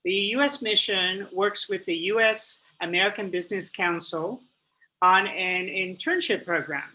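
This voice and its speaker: A man's voice: high-pitched, bright, forceful tone, poor audio. A man hosting an interview show who speaks in a friendly, upbeat way and addresses the audience directly. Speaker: host, spokesperson.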